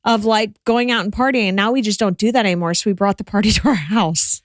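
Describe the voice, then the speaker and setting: A woman's voice: medium pitch, fast, no echo, clean studio sound. A woman co-hosting a podcast, chatting casually with a friend and telling stories by acting out what people said. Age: adult, parent of a child. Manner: animated storytelling, quotes people in dialogue. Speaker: podcast co-host.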